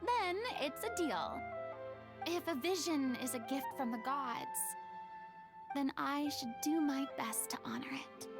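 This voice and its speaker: A woman's voice: high voice, lively intonation, a little slow. A woman voicing a refined young former stage star in a video game, eloquent, formal and theatrical. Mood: resolved. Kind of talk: English video game voice acting. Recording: music playing behind the voice.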